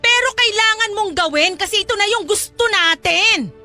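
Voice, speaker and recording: Woman acting a shrill, domineering scolding mother. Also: moderate pace, faint music behind, full character voice. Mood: angry scolding. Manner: nagging, forceful, cutting. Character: domineering, scolding mother pushing daughter toward money. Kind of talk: Tagalog radio drama acting.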